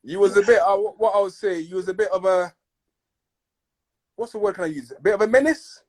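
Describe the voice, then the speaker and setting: Halting man hosting a call. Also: sits high, expressive. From Congo. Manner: halting, repeats words while searching for phrasing. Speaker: podcast host. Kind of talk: casual interview. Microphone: video call.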